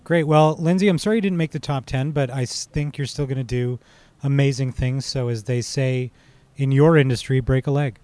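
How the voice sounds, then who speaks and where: A man hosts an interview. His voice sits at a middle pitch, and he puts his questions in a conversational, podcast way. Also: normal speed, darkish tone, dry recording.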